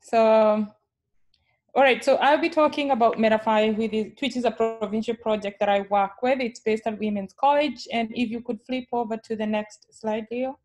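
A woman's voice: on the high side, steady tone, moderate pace, darkish tone, soft background music. Kenyan-accented woman presenting on a video call. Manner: friendly, explanatory, with frequent filler sounds. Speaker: nurse educator presenting. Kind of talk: webinar presentation.

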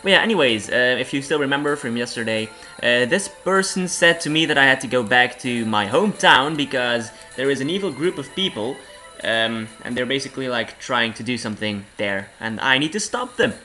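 Hoarse young man with a cold. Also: medium pitch, expressive, a little fast, bright, forceful tone, soft background music, poor audio. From Belgium. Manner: rambling, self-deprecating, laughs often. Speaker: let's play host. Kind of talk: casual game commentary.

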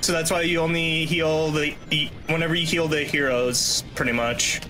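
A young man doing casual live commentary as a speedrunner, in a halting way that repeats and restarts phrases. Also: on the high side, fairly even intonation, rough recording. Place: indoor room at home, webcam stream. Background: video game music and vehicle-like game sounds.

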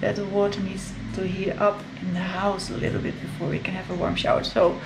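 A woman's voice: mid-range voice, little pitch movement, brisk, vocal fry, low-quality recording. A woman in her thirties chatting upbeat to a handheld camera in a wooden holiday house. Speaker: vlogger. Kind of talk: casual vlog chat. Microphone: action camera held at arm's length. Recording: loud background music. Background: music playing behind.

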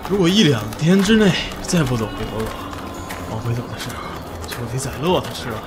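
An actor playing a wry, streetwise expedition adventurer in a radio drama, casual and coaxing. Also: male voice, mid-range voice, big pitch swings, fast talker, heavy background noise, lightly acted. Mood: reluctant. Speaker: lead actor and narrator. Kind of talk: radio drama acting and narration.

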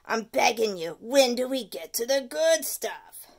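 A woman doing a loud greedy talking tooth. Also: a little high, animated, a little slow, creaky, heavily acted. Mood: pushy. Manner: loud, pushy, wheedling. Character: greedy wisecracking male sweet tooth.

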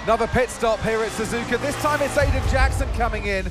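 A man playing an excited motor racing television commentator in a game. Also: very high-pitched, fast talker. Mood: urgent, excited. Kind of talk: sports commentary. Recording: loud music and engines behind.

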